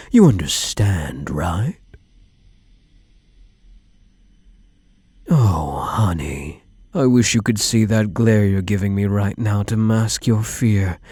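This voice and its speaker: A man's voice: fairly low pitch, darkish tone, breathy edge, dry recording, full character voice. A man playing a possessive, menacing kidnapper groom in an ASMR roleplay, taunting his captive with smug, mock-tender endearments. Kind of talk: ASMR roleplay audio drama.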